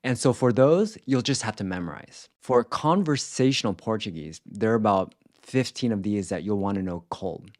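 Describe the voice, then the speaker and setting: A man presenting a language lesson in scripted instructional narration, explaining clearly and enunciating example words with care. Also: fairly low pitch, expressive, moderate pace, few pauses, darkish tone. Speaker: language teacher presenting a video series.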